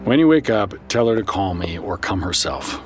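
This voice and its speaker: A wry, chatty therapist in a naturalistic audio drama. His pitch jumps about in a very animated way as he hesitates and rambles sardonically. Music plays clearly behind him. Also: normal speed. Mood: wry annoyance. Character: wry, chatty, flustered male therapist who brawls apologetically.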